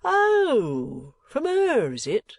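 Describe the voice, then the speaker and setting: A man voicing a pompous, excitable middle-aged man who bursts into wrathful outbursts, in a storybook reading. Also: fairly high pitch, a little slow, no room sound. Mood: fussy, pleased.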